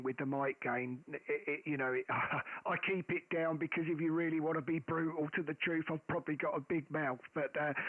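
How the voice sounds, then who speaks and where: A man with a loud, carrying voice rambles happily about his radio settings, full of ums and restarts. His voice sits a little high and very dry, pressed close to the mic. It all comes through a narrow, phone-like radio band. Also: dry recording. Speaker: amateur radio operator. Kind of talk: casual radio chat. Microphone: boom mic close to the face.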